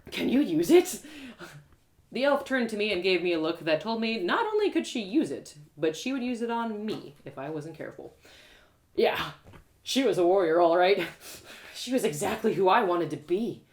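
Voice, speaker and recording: A woman reading her own story aloud live as an expressive narrator. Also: medium pitch, normal speed.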